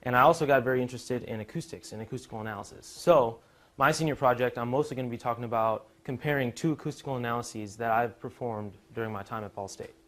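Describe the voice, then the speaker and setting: A man's voice: mid-range voice, quick pace. A young man from the Chicago suburbs giving an informal, conversational student presentation, explaining technical material. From Chicago suburbs, USA. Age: young adult. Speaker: university student presenter, musician and drummer.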